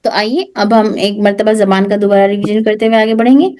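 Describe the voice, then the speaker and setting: A woman's voice: mid-range voice, steady tone, a little fast, darkish tone. A woman teaching an online class who asks questions and then answers them herself, mixing English terms into her explanations. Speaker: tajweed teacher.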